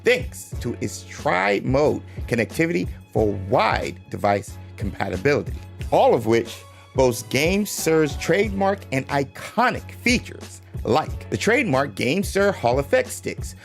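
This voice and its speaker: Male streamer host, mid-pitched, very animated delivery, loose repetitive chat, faint music bed. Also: unhurried, gravelly. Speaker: livestream host. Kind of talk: casual livestream chat and sponsor read.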